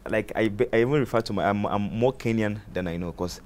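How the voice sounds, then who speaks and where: Deep-voiced nigerian man, chatty. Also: quick pace. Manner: animated, repeats words while searching for them. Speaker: comedian guest. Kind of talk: casual interview chat.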